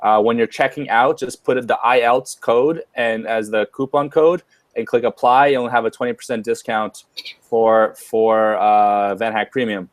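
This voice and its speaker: A man's voice: medium pitch, steady tone, moderate pace, no room sound. A man hosting a live webinar, chatting casually with many fillers and restarts. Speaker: webinar host. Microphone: webcam or headset on a video call.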